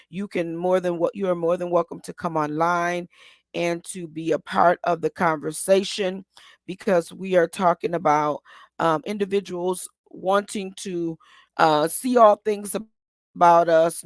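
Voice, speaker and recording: Hoarse, gravelly woman hosting a show. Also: on the low side, no echo, studio quality. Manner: rambling, many ums and restarts; encouraging, asks listeners to agree. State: hoarse today. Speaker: host. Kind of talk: casual motivational talk.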